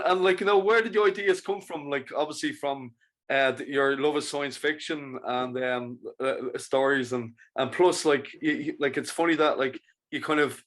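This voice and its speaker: A man hosting a casual podcast chat, his voice a little higher than most men's. He is upbeat and quick to praise. His questions stop and restart along the way. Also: fairly even intonation. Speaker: podcast host.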